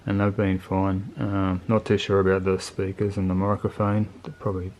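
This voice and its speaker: Man, fairly deep voice, very flat intonation, casual unscripted reviewer, colloquial, plain-spoken. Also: normal speed, a little hiss or hum, poor audio. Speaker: motorcycle video channel host reviewing gear.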